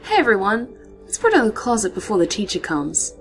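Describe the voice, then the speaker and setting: A woman voicing a cruel, savage schoolboy who bullies a classmate, shouting and taunting. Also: medium pitch, huge pitch swings, a little fast, soft background music, pitched above the performer's own voice.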